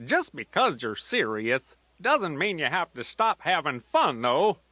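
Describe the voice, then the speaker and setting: Children's toy tape grandpa bear: elderly, folksy, playful rambler, slow delivery, very animated swooping intonation. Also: male voice, on the high side, dry recording, full character voice. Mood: gently teasing. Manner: folksy, rambling, sing-song rhyming asides.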